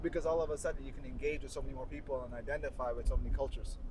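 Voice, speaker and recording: A man's voice: medium pitch, fairly even intonation, very fast. A man from the United States chatting casually as a passenger, chiming in with questions and thoughts of his own. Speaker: co-host and conversation partner. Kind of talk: casual chat. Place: inside a moving car. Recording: noisy car interior with road noise.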